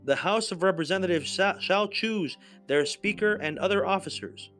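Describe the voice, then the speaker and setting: Higher-voiced man reading formally over music. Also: normal speed, a bit bright. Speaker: podcast host, narrator.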